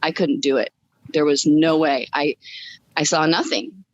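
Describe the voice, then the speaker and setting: A woman's voice: deep voice, fairly even intonation, a little slow, highs cut off, a little hiss or hum. A woman guest telling her personal story in a casual, upbeat way during a podcast interview. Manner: upbeat, chuckles as she talks.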